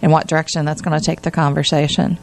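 Woman on community radio, bit low, lots of vocal fry, thoughtful casual chat. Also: little pitch movement, fast talker, a little mellow. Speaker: volunteer radio show host. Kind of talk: casual studio conversation. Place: radio studio.

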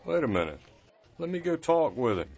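A man's voice: a little high, big pitch swings, fairly quick, darkish tone, some chatter behind. A man voicing a blind caretaker who is folksy, patient and kind. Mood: earnest. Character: blind male caretaker, gentle and wry. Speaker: nurse to wounded soldiers. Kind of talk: audio drama acting. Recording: muffled lo-fi recording.

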